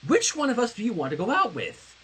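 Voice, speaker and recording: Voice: medium pitch, wildly swooping pitch, fast, fairly bright tone, breathy edge, pitched above the performer's own voice, full character voice. Performer doing an earnest, insistent pony princess. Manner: firm, insistent, dramatic. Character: poised pony princess, earnest, competitive rival in love.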